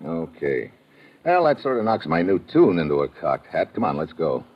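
An actor playing a tough, wry American private investigator in old radio detective style, laconic and slangy. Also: male voice, deep voice, very animated, normal speed. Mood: wry, watchful. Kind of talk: 1950s radio detective acting. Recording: muffled old radio recording with music.

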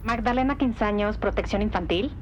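A woman's voice: mid-range voice, fairly quick, a little mellow, lo-fi sound, slight background noise, natural voice. A woman playing a friendly, obliging child protection officer taking a call in an audio drama.

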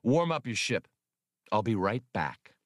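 A man's voice: on the low side, very animated, a little slow, no room sound, clean studio sound. A man voicing a cocky male space smuggler pilot who talks brashly and haggles. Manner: brash, bargaining.

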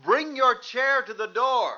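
A man's voice: very high voice, lively intonation, measured pace, pushed and bright, faint music behind, full character voice. An actor playing a young American father in a mid-century radio drama, firm and coaxing but tense and impatient. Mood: coaxing, insistent. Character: tense young father trying to stay calm. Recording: dull, noisy old radio recording with clicks.

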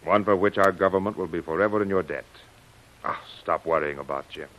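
Deep-voiced fatherly colonel. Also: brisk, a bit bright. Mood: soothing, gallant. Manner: avuncular, soothing. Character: reassuring older military colonel, fatherly and gallant. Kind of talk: old-time radio drama acting. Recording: muffled vintage radio recording.

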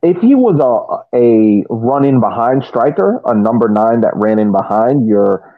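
A man's voice: medium pitch, animated, no room sound, narrow, tinny audio. A man giving casual soccer analysis as a podcast panelist, often repeating words as he works through his point. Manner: halting, repeats words while reasoning.

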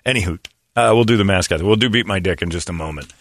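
A man's voice: low voice, fast, fairly bright tone, no echo. A man hosting a morning radio show in casual, blunt banter, with crude jokes and a studio microphone sound.

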